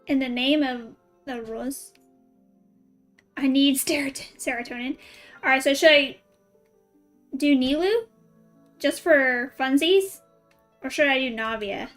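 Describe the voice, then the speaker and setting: A woman's voice: sits high, a bit bright. A woman chatting casually on a game livestream, thinking aloud informally and putting questions to her viewers. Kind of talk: casual livestream chat with viewers while playing.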